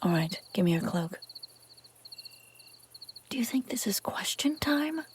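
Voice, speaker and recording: A woman's voice: mid-range voice, animated, measured pace, slight background noise, lightly acted. A woman playing a brusque, sardonic but secretly caring female bounty hunter in a scripted fantasy audio roleplay, speaking straight to the listener. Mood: urgent, hushed. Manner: sarcastic, teasing, often dropping to hushed urgency.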